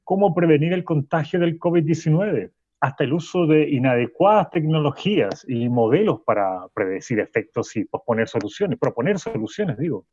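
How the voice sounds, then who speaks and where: A man plays a self-important sociology professor, lecturing down to his listeners. The voice is slightly high, husky and mellow. The recording is dull and dry, as in a small studio. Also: animated, normal speed, hardly any pauses. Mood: lofty. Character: pompous, condescending male professor, self-assured. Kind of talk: radio drama acting.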